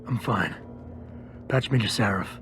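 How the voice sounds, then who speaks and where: Gruff cyborg security man, low gravelly voice, clipped deadpan lines, video game cutscene, noisy background. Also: a little slow. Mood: terse, cool. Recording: clear background noise.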